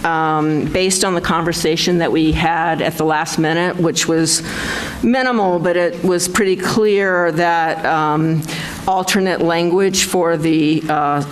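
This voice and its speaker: A woman's voice: lowish voice, steady tone, unhurried, a little hiss or hum. A woman chairing a town board meeting, speaking hesitantly with many fillers. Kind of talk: public meeting discussion.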